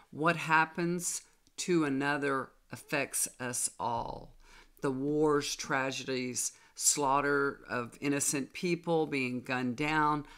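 Slow, earnest middle-aged woman. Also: sits low, a bit bright. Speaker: tarot reader. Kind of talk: direct-to-camera spiritual message. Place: small home room with bookshelves.

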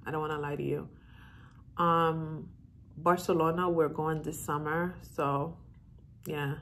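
A woman's voice: low-pitched, level tone, a little slow, fairly bright tone, a little hiss or hum. A middle-aged woman with a Haitian accent tinged with Southern US, chatting casually and thinking aloud as she answers viewer questions. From Haiti, moved to the US as a child and grew up in Florida. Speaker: YouTuber. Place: room in a house, indoors.

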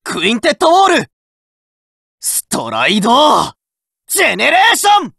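An actor voicing a fiery, determined teenage boy card fighter who shouts his game battle calls in rough boyish speech, recorded in a dry studio. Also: very high-pitched, wildly swooping pitch, measured pace, no echo, full character voice. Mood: battle cries.

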